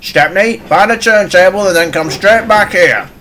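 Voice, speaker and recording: A man's voice: high-pitched, normal speed, sharp tone, pitched above the performer's own voice. Man playing a stern, authoritative railway boss. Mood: commanding. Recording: music and crowd noise behind.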